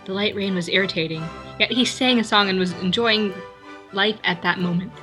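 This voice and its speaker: A woman's voice: medium pitch, normal speed. A woman casually reading fan fiction aloud, stumbling over words now and then. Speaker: reader. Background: faint classical music.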